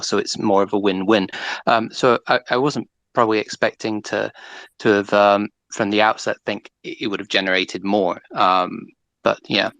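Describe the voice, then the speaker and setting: A man's voice: lowish voice, fairly bright tone, dry recording. A man explaining findings in a casual online discussion, hesitant and often repeating words as he goes. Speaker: panel speaker presenting own research.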